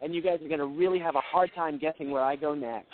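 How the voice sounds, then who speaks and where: A thin phone line brings in a flamboyant, high-pitched male caller, put on by a man for radio comedy. He gushes and boasts like a pampered globetrotter. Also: fast talker, lightly acted. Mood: breezy, enthusiastic. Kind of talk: comedy call-in bit. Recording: quiet tinny phone line.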